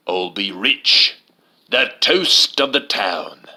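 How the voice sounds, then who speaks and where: A man's voice: on the low side, lively intonation, slow pace, pushed and bright, full character voice. A man playing a brash, sly New York land speculator, boastful and emphatic, in a storybook reading over music. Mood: gloating. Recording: music under the voice.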